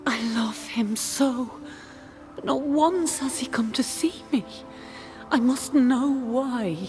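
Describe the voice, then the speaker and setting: Slow-speaking, lovelorn ghost woman. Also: sits high, a little mellow, faint music behind. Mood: longing, sorrowful. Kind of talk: dramatic video game acting.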